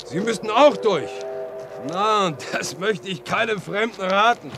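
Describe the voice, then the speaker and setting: An actor in a German radio drama playing a folksy, helpful railway line inspector who likes to chat and caution. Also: male voice, high-pitched, animated, a little slow, creaky, music in the background, exaggerated voice. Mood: urgent, warning. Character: chatty, obliging railway line inspector guiding a stranger. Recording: noisy old radio play recording.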